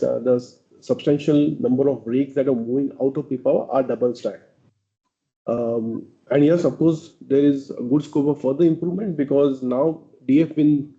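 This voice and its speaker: A man's voice: medium pitch, mellow. A man giving answers as a company executive on an earnings conference call. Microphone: conference call line. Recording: muffled, dull conference call audio.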